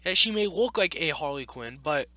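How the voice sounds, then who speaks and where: Man, casual reading commentary, exasperated and argumentative, very lively intonation, close dry sound. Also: on the high side, moderate pace, dry recording. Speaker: YouTube commentator.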